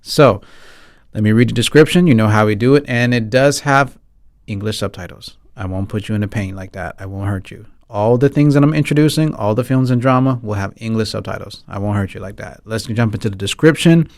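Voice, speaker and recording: An American man hosting a casual film talk show, chatting to his audience in an animated, playful way with frequent asides. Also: lowish voice, a little fast, dry recording, clean studio sound. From United States. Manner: animated, playful, conversational asides, often asking "right?". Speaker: podcast host. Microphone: close studio mic on boom arm, headphones.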